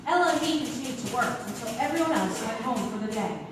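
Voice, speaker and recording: A woman's voice: on the high side, lively intonation, quick pace, lightly acted. An actress playing a timid, earnest 1940s woman accountant in a radio-style stage drama, plain-spoken as she tells her story. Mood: earnest, uneasy. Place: theatre stage, live show. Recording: echoey theatre stage, distant mic, noisy.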